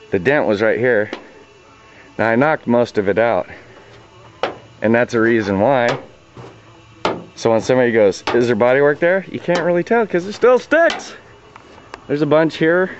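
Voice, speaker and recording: A man's voice: mid-range voice, slight background noise. Casual man talking shop, dull lo-fi sound. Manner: informal, plain-spoken, slangy. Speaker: DIY auto body repair demonstrator. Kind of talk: casual hands-on tutorial. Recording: dull lo-fi audio, radio music behind. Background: radio music playing in the background.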